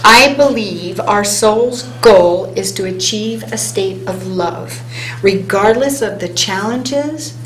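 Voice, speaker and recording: A woman on a hypnosis conference panel, speaking earnestly and reading an inspirational passage aloud, slowing down on key words. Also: medium pitch. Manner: earnest, inspirational, speeds up and slows for emphasis. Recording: roomy hall sound, faint hum.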